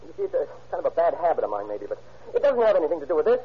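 A rattled, husky-voiced suspect in an old radio drama, his quick speech jumping up and down in pitch as he stammers out his denials. The sound is muffled, like an aged broadcast transcription. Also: sing-song. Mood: pleading. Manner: jittery, stammering, protesting his innocence. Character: nervous pleading male truck owner suspect. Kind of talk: 1940s radio acting.

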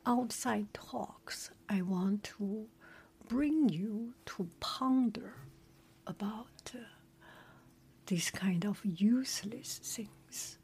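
A woman, not a native English speaker, whispering a relaxed chat and reading a story aloud with many hesitations. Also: medium pitch, slightly rough.

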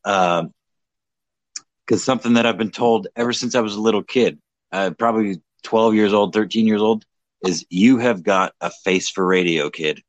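A man's voice: lowish voice, normal speed, dry recording, low-quality recording. A young man in casual livestream chat, slightly drunk, rambling, swearing and mocking himself. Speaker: livestream co-host.

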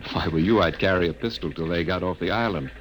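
An actor playing a mild, conscientious middle-aged scientist in a classic radio drama, speaking with hesitant, genteel earnestness. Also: male voice, deep voice, quick pace, lightly acted. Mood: uneasy. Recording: noisy old radio recording.

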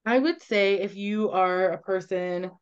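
A woman's voice: mid-range voice, unhurried, smooth, lo-fi sound. A woman guest on a podcast, earnestly explaining at length and often checking in with "right?". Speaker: guest, medical intuitive.

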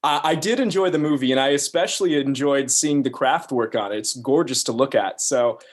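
Man interviewing on a podcast, medium pitch. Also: a little fast, breathy edge. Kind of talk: conversational interview.

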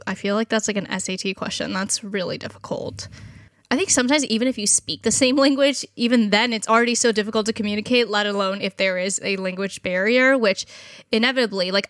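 A woman in her thirties co-hosting a casual conversation, talking quickly and expressively and laughing often. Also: mid-range voice, fairly bright tone, dry recording, clean studio sound. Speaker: podcast co-host.

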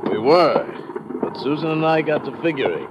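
An actor playing a smug, menacing American crook who passes as a chummy tourist, in old-time radio drama style, on a muffled, noisy vintage recording. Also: male voice, fairly high pitch, expressive, normal speed, lightly acted. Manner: taunting, falsely chummy, commanding.

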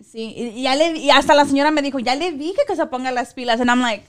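High-voiced chatty woman. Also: quick pace. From Chicago. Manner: code-switching, animated, laughs often. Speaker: co-host, school translator. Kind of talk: casual chat.

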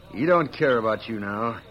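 A man's voice: medium pitch, lightly acted. An American actor playing a bossy, menacing outlaw henchman in a radio western, folksy in wording and barking orders. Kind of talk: old-time radio western acting. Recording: noisy, dull old radio recording.

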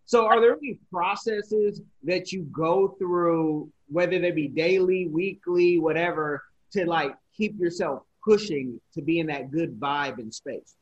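A man's voice: a little high, unhurried, few pauses. A man interviewing a guest, asking earnest, encouraging questions with some restarts. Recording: dull lo-fi audio.

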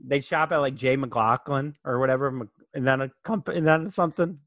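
A man's voice: mid-range voice, brisk, fairly bright tone, no room sound. A man chatting casually as a comedy podcast host, loose, rambling and jokey.

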